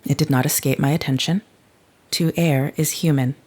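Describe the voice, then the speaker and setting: An actor playing a grave, otherworldly telepathic parent in an audio drama, speaking in measured, formal words. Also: male voice, on the high side, fairly even intonation, lightly acted. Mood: probing. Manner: stilted, formal, measured wording.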